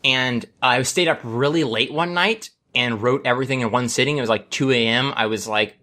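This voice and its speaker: A man's voice: medium pitch, fairly quick, bright, forceful tone. A man co-hosting a casual podcast over an online call, explaining things in a rambling, playful, mock-serious way. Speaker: podcast host. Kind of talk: casual group chat.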